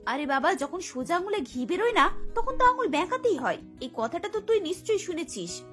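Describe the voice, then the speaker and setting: A woman's voice: very high-pitched, sing-song, fairly quick, a bit bright, faint music behind, pitched above the performer's own voice, full character voice. A woman voicing a confident, reassuring young female friend in a cartoon, with a breezy, coaxing manner. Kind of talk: Bengali cartoon voice acting.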